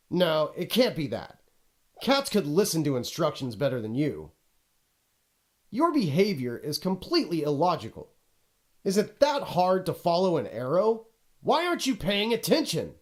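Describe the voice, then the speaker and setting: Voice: medium pitch, lightly acted. An actor playing a pompous, exasperated video game narrator who scolds the player in a dramatic, haughty, petulant way. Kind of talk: theatrical scripted game narration.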